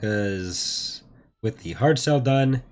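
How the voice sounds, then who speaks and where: A man giving casual, rambling, low-key gameplay commentary, his voice still recovering after being mostly lost. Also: animated, very slow, faint music behind. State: recovering from voice loss. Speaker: host of a gaming playthrough series.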